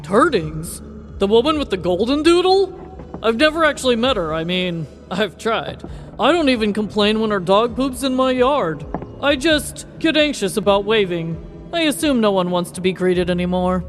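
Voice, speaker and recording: A man's voice: high-pitched, expressive, moderate pace, soft background music. A man playing an anxious, awkward neighbor in a comedy sketch, earnest and oddly candid. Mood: nervous, earnest.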